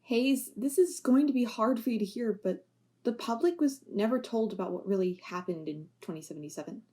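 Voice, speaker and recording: A sardonic former police officer carrying heavy guilt, played by a woman. Her voice sits fairly high, and she jokes drily even when she turns impassioned. The acting has an amateur audio-drama feel. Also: brisk, lightly acted. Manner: wry, sarcastic, turning impassioned.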